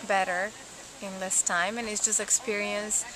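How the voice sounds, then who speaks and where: A woman's voice: mid-range voice, little pitch movement, normal speed, low-quality recording. A woman from Ecuador who teaches Spanish, answering interview questions with enthusiasm and many fillers.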